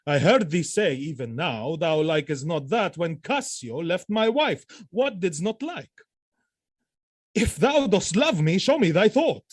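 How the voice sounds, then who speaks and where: An actor playing a proud military husband troubled by jealousy, speaking Shakespearean verse emphatically and haltingly in an audio drama. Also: male voice, a little high, big pitch swings, husky, no echo, exaggerated voice. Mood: demanding, suspicious.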